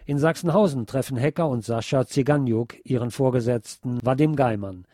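A man with a dark, mellow voice narrates as a radio host. The sound is dry and close, and his scripted delivery is measured and deliberate. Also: mid-range voice, no room sound.

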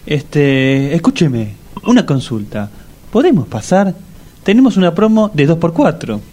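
A man's voice: a little high, big pitch swings, unhurried, darkish tone. An actor playing an earnest, fussy man who speaks in a matter-of-fact way with a Rioplatense accent. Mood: pushy. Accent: Rioplatense Spanish.

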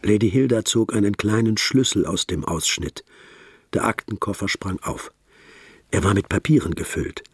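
An actor as the narrator of a detective radio drama, telling the story in a measured, literary manner. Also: male voice, lowish voice, expressive, husky, no room sound, studio quality. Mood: measured, suspenseful.

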